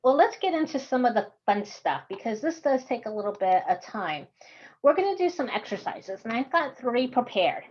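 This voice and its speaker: Texan lady teaching a zoom class, kinda high voice, chatty, muffled call audio. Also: big pitch swings, moderate pace, darkish tone, slightly rough. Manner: chatty, friendly, laughs often. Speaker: course instructor. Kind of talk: online workshop teaching. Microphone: video call. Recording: dull video call audio.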